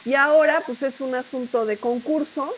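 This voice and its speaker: A woman's voice: sits high, steady tone, normal speed, slight background noise, low-quality recording. A middle-aged woman with a Mexican accent teaching a class in an informal, discursive, conversational way, often checking in with short tag questions. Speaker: university lecturer.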